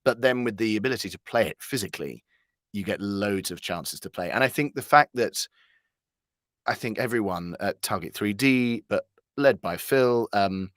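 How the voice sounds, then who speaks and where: A British man giving a conversational interview as a voice actor, telling anecdotes in an animated, halting way with self-corrections. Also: lowish voice, no room sound, clean studio sound.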